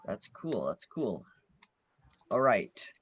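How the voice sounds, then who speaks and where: Slow-talking young man, dull lo-fi sound. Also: fairly low pitch, big pitch swings, fairly bright tone, slight background noise. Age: teen or young adult. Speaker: interviewer. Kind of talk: casual interview. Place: online video call. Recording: dull lo-fi call audio.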